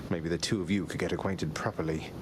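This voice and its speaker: A man's voice: low voice, steady tone, fast talker, noisy background, close to an everyday voice. A man playing a young underworld prince in a video game, earnest, courteous and understated. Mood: dry, polite. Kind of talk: video game character dialogue.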